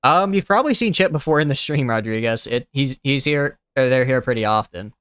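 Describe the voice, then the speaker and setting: A young male streamer with a smooth, clean voice that sounds youthful, recorded close and dry with no room sound. He rambles in relaxed commentary, thinking aloud with plenty of fillers and restarts. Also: mid-range voice, fairly quick, dry recording. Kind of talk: casual gameplay commentary.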